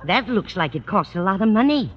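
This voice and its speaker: An actress playing a fussing, motherly older landlady with a husky voice in an old radio sitcom. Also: medium pitch, sing-song, brisk, a little hiss or hum, lightly acted. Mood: fussing, concerned. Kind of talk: 1950s radio sitcom acting. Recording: dull, reverberant old radio recording.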